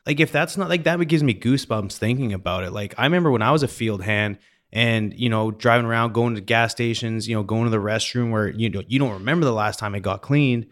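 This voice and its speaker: A low-pitched male podcast host from western Canada. He talks in a casual, conversational way, as in a relaxed sit-down interview. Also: fast talker. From British Columbia, Canada.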